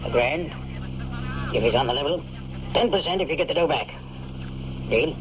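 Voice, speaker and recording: An actor playing an ailing, worried old big-city mayor in a radio drama, with a hoarse, confiding, deliberate voice. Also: male voice, animated, close to an everyday voice. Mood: businesslike. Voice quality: hoarse, low-speaking, gravelly. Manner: confiding, deliberate, throat-clearing. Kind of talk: 1950s radio drama acting. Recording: muffled, noisy old radio recording.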